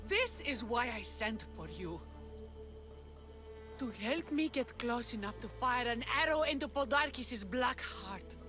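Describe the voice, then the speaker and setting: A woman's voice: fairly high pitch, lively intonation, slow, over music. A woman playing a passionate young rebel leader of ancient Greece in a video game cutscene. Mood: bitter.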